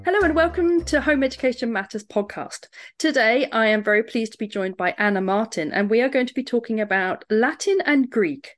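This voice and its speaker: A woman's voice: a little high, animated, brisk, hardly any pauses, faint music behind. A woman hosting a podcast interview, enthusiastic and chatty. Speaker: podcast host.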